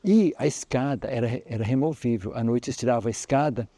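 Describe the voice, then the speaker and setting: A man's voice: medium pitch, fast talker, warm tone, no room sound. A Brazilian man from guiding a visitor through a historic house, explaining its history in a rambling way with many restarts. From Brazil, Espírito Santo. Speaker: local historian guide. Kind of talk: on-site interview, explaining history.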